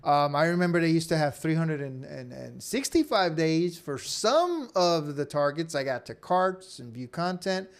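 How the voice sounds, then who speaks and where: Man teaching casually, slightly higher-pitched voice. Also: expressive, unhurried, gravelly, hardly any pauses, clean tone. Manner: conversational, repeatedly checks in with "okay?" and "right?". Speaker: online course instructor. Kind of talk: informal screen-share tutorial.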